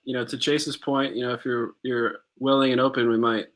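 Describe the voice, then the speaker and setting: A man's voice: mid-range voice, level tone, a little fast, fairly bright tone. A man co-hosting a podcast in casual live-stream chat, easygoing and agreeable, reading out viewer comments. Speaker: podcast co-host.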